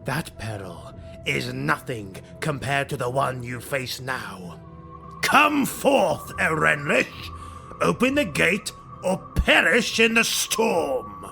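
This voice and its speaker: A man voicing a proud, wrathful dark elf highborn warrior in a fantasy audiobook, commanding and menacing with theatrical threats. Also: sing-song, slow pace, creaky, faint music behind, exaggerated voice. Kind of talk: dramatic fantasy audiobook acting.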